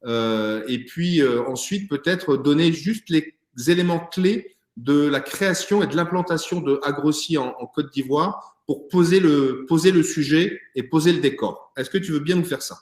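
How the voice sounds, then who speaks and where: A man's voice: a little high, moderate pace, darkish tone. Hesitant male host with an echo. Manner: hesitant, many fillers and restarts. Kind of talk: online talk show interview. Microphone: video call.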